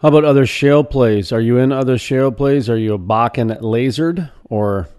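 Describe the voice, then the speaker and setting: Guy hosting a radio interview, easygoing, jokey, middle pitch. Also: moderate pace, darkish tone, clear, resonant tone. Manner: casual, joking questions. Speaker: radio show host. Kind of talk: interview questions.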